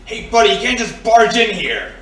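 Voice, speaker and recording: A man's voice: sits high, big pitch swings, normal speed, bright, forceful tone, distant mic, heavily acted. A man playing a cocky, belligerent young henchman with slangy gangster talk in an audio drama. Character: cocky young male henchman guarding the boss's door. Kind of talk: pulp adventure audio drama acting. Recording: echoey, off-mic, clearly noisy.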